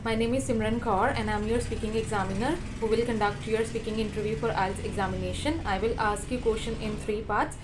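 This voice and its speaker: A woman with an Indian accent, acting as an English speaking examiner and putting formal, measured questions in a mock interview. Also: mid-range voice, steady tone. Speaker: IELTS speaking examiner and teacher. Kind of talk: mock exam interview, mixing Punjabi and English in the intro. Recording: noisy background ambience.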